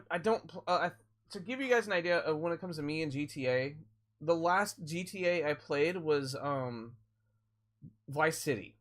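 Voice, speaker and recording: A man's voice: a little high, a bit bright. A man streaming a game and chatting with viewers, rambling through explanations with frequent restarts. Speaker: gaming live streamer. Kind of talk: casual live-stream chat answering viewers.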